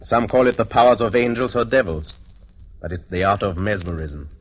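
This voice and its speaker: An actor playing a cold-blooded, urbane doctor villain in an old-time radio drama, speaking with measured, polite menace and deliberate hesitations. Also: male voice, lowish voice, natural voice. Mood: suave, intrigued. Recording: dull, noisy old radio recording.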